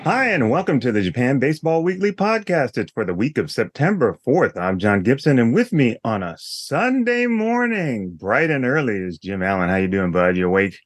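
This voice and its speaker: A man hosting a podcast in casual chat, his voice raspy, laughing often and joking easily. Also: mid-range voice, sing-song, normal speed, few pauses, no echo. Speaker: podcast host.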